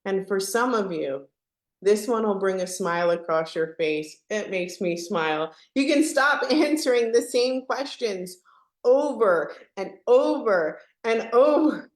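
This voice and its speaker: A woman with a mid-range voice speaks in a noticeably echoey room. She presents like a live webinar host, upbeat and chatty. She keeps checking in with her listeners as she sells the idea. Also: mid-range voice. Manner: chatty, peppered with "right?" tags. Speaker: presenter hosting an online product webinar. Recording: echoey, roomy sound.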